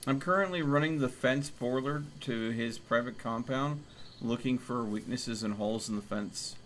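A man chatting casually as he streams a game, exclamatory and quick to swear. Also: mid-range voice, fairly bright tone, slight background noise. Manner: profane, exclamatory. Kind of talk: casual gaming chat with friends. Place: indoor room, streaming setup.

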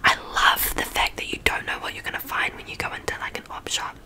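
A young woman with a New Zealand accent, whispering a rambling ASMR chat with lots of ums, close to a studio mic in her small bedroom. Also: fast talker. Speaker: ASMR creator. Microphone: studio mic on stand, close up.

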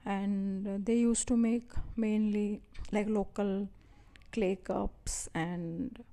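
A woman giving a slow, deliberate voice-over that introduces a traditional potter. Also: mid-range voice, steady tone, vocal fry, warm tone.

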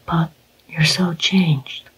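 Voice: medium pitch, fairly even intonation, extremely slow, breathy edge, heavily acted, rough recording. A reader whispering the part of a timid, frightened young bride in a storybook, with a trembling, bewildered manner. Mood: frightened pleading.

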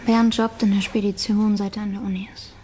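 A woman's voice: mid-range voice, flat delivery, a little fast, darkish tone, slight background noise, lightly acted. A woman acting a grieving, guarded girlfriend in a crime radio play, giving short subdued answers. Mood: subdued, defensive. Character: grieving, guarded young girlfriend of a dead man.

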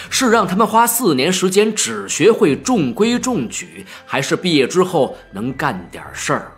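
A man acts out a composed male professor in an audiobook, arguing with measured authority. The voice is a little husky and swings widely in pitch, over background music. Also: a bit bright, exaggerated voice. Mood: firm. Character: composed, liberal-minded male music professor and department head. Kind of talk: audiobook story character.

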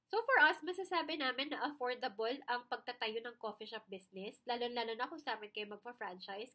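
A woman's voice: high voice, sharp tone. A Filipino woman giving an informal sales presentation, explaining things conversationally with frequent check-in tags. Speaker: franchise business presenter.

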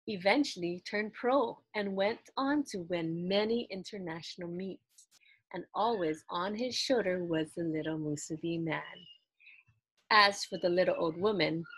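A woman in her thirties reading a children's story aloud in an expressive, deliberate storytelling style. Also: lowish voice, slightly rough, no room sound, rough recording. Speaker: children's book reader. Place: small indoor room lined with books.

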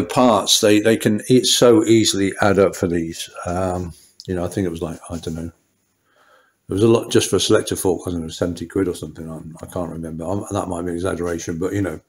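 A middle-aged man with a low, deep voice, talking unscripted in a small workshop. He rambles through the work, restarting sentences and filling pauses with ums and uhs. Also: fairly even intonation. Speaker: motorcycle mechanic. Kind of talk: workshop walkthrough talk.

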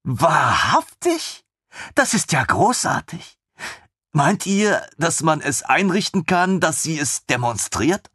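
A man voicing an eager, excitable male lieutenant in an audiobook, talking in breathless, gushing questions. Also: on the high side, slow pace, husky, no echo, clean studio sound, voice pushed up, full character voice. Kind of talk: audiobook reading.

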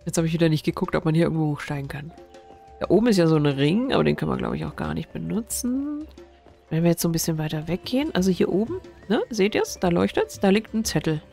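A woman's voice: lowish voice, lively intonation, quick pace, vocal fry, dark tone, faint music behind. A woman with a cold and a stuffy nose gives casual game commentary, puzzling things out aloud as she plays. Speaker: gaming streamer.

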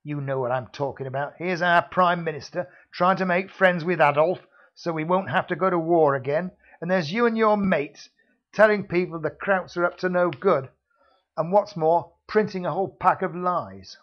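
A man's voice: high voice, normal speed, pitched above the performer's own voice, close to an everyday voice. A man voicing a menacing male secret-service heavy who bullies with mock politeness, on a dull lo-fi recording. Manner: mock-polite, sneering, threatening.

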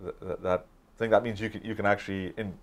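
An older man in his sixties with a fairly low voice, talking as an expert in an informal interview. He explains in a halting way, restarting and repeating words as he builds each point. He sounds like he is seated in a small office. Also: little pitch movement. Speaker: academic expert, interview guest.

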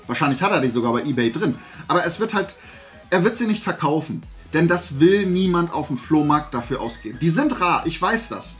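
A man. His voice sits a little high for a man. He talks in a blunt, colloquial, opinionated way, seated at a desk in a small room, with faint music. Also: a bit bright. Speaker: host of a collecting haul vlog. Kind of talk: casual chat to camera. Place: small indoor room lined with collectibles.

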